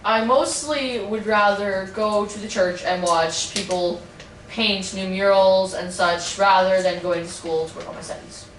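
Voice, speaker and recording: A woman's voice: mid-range voice, lightly acted. A young woman plays a proud, touchy Renaissance master who brags about his art and snaps back with sarcasm. The voice stays close to her own, recorded from a distance in an echoey room with faint noise behind. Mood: snippy, boastful. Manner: sarcastic, quick comebacks. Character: boastful male Renaissance painter, touchy and snippy. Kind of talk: amateur mock interview roleplay.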